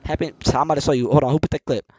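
A young man live-streaming a role-play game, talking in excited, boastful, repetitive street slang with lots of swearing. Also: mid-range voice, rapid-fire, husky, no room sound, highs cut off.

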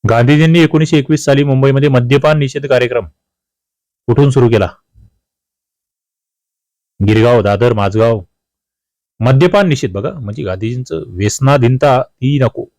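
A male coaching teacher with a mid-pitched voice walks through exam questions. He is didactic, putting each question to the learner and then supplying the answer. The sound is dry, with very little room in it. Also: normal speed, long pauses, a little mellow, no room sound.

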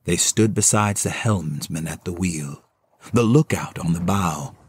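A man's voice: sits low, a little slow, a little hiss or hum. A man narrating a classic novel as dramatic, scripted storytelling, with a theatrical and solemn delivery. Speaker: narrator, solo performer.

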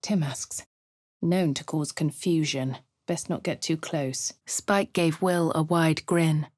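An actress playing a posh, composed fantasy adventurer in a video game, with a British accent and a refined, measured, dramatic delivery. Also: female voice, low-pitched, fairly even intonation, a little mellow, dry recording, clean studio sound. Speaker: voice actor for a video game player character. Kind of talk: scripted game voice lines and narration.

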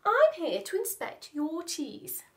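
A woman's voice: very high voice, huge pitch swings, takes it slowly, pitched above the performer's own voice, full character voice. A woman voicing an officious, crafty male mouse crime boss from a storybook, pompous and commanding.

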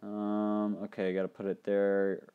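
A young man hosting a casual game commentary, thinking aloud and breaking into loud excited outbursts, his mouth dry and his voice getting tired. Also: on the low side, normal speed, clear, resonant tone, narrow, tinny audio. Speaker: gaming channel host. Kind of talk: casual let's play commentary.

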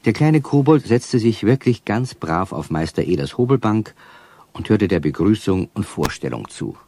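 A man narrating a 1970s children's radio play as a measured storyteller. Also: fairly low pitch, big pitch swings, mellow, no room sound.

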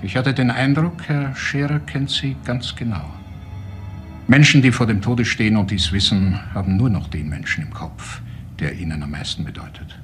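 A man's voice: medium pitch, normal speed, fairly bright tone, soft background music, natural voice. A man playing a grave, formal hospital professor in a crime drama, speaking matter-of-factly. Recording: clearly noisy background.

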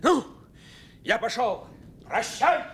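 Bold, lively military commander. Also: male voice, extremely high pitch, exaggerated voice. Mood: defiant, brisk. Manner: brisk, commanding. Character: bold, carefree Red Army commander, master of the house. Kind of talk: Soviet radio play acting. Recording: noisy vintage Soviet radio drama recording.

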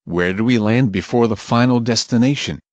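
A man reads short English travel phrases in a measured, plain voice, one at a time for learners. His pitch is ordinary for a man. The audio is dry and a little dull, as if the highs were trimmed. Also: a little mellow, dry recording. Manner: measured, plain, each phrase read alone. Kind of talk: slow clear phrase reading for learners.

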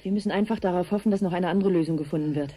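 A woman's voice: lowish voice, very fast, mellow, slightly rough. An actress playing a grave, alarmed woman researcher in a radio drama, speaking with brooding, insistent urgency. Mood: dark foreboding. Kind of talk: 1980s German radio drama acting.

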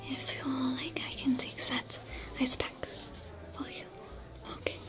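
A young woman voicing a gentle alien smuggler who tends wounds, whispering softly and slowly with a French accent. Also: high-pitched, steady tone. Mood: soothing whisper. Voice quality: soft breathy whisper. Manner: slow, soothing and caring. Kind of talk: whispered ASMR roleplay. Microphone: close to camera. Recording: whisper over loud music.

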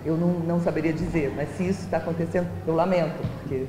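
A woman's voice: sits low, steady tone, fast. A middle-aged woman with a Brazilian accent, a researcher giving her view in an interview discussion. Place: classroom-like room, indoors. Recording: noisy echoey room.

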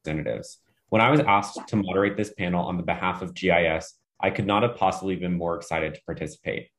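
Man, panel moderator, very deep voice, fast-talking, earnest. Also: a bit bright, a little hiss or hum. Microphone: webcam video call.

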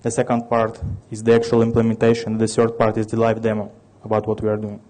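Man, 30s, Russian accent, dark mellow voice, flat delivery, halting tech presenter. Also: fairly low pitch. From Ukraine. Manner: halting, many restarts and fillers. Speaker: conference speaker. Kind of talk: technical conference presentation.

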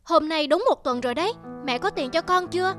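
A woman voicing a selfish young adult son in an animated moral tale, whining and complaining demandingly. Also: very high voice, a little fast, faint music behind. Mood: impatient. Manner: petulant, complaining.

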